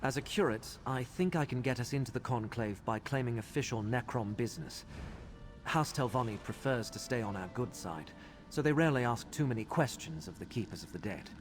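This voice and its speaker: Game casting: composed priestly keeper of the dead, male actor, mid-pitched, measured, faint music underneath. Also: lightly acted. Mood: matter-of-fact. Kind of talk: video game character acting.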